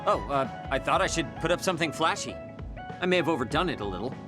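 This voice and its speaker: A woman's voice: sits low, lively intonation, fast talker. A woman voicing a grumpy, proud teenage boy in an anime-style game, blunt and easily flustered. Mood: defensive. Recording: loud music behind the voice.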